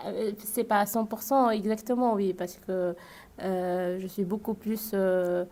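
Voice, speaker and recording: A woman student whose first language is Arabic answers slowly and haltingly, restarting her sentences. Her voice is mellow and dark, at a middle pitch. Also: fairly even intonation. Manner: halting, many restarts and fillers. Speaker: student guest. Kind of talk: hesitant interview answer.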